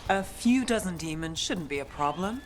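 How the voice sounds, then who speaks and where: An actress playing a confident, wary investigator in a video game, dry and understated. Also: female voice, on the low side, lively intonation, normal speed, slight background noise, natural voice. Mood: dry, cool. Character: cool, wary, confident female investigator companion. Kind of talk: video game character acting.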